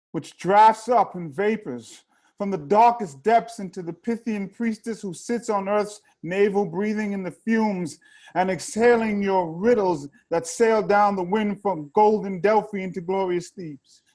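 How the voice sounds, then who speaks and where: Very high-voiced, slow, pleading tragic chorus. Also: male voice, a little mellow. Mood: hushed awe. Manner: slow, fervent declamation. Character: terrified, pleading Theban chorus praying to the gods. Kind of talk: incantatory dramatic reading of Greek tragedy.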